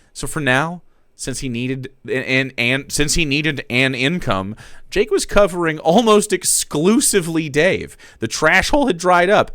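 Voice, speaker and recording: A man streamer giving a dramatic reading with wry, deadpan asides. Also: mid-range voice, no echo, studio quality.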